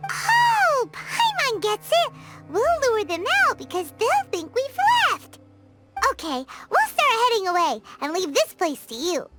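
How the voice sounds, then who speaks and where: A woman voicing a tiny, bubbly fairy girl companion in a game, who chatters excitably and talks about herself in the third person. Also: unhurried, over loud music, full character voice. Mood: eager. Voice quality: high, squeaky and excitable. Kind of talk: game cutscene voice acting.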